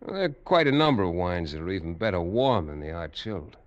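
A man's voice: low-pitched, big pitch swings, quick pace, muffled recording. An actor playing a shrewd, cool detective in mid-century radio drama, casual and understated as he probes. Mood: coolly probing. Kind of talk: 1940s-50s radio drama acting.

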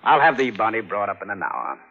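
An actor playing a businesslike, matter-of-fact man with a husky voice in a 1940s radio drama. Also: fairly low pitch, sing-song, quick pace. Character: matter-of-fact small-town man, businesslike acquaintance asking favors. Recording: dull old radio broadcast recording.

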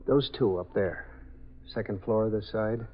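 A man's voice: fairly low pitch, measured pace. An actor playing a police detective in a 1950s radio drama, reporting plainly and deferentially to his lieutenant. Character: dutiful police detective on stakeout. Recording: muffled 1950s radio broadcast.

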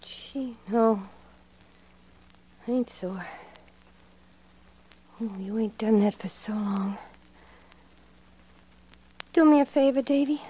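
A woman's voice: on the high side, slow, long pauses, warm tone, slightly rough, rough recording. An actress playing a streetwise, needy gangster's girlfriend who talks in slang, in a 1940s radio crime drama. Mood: submissive, pleading.

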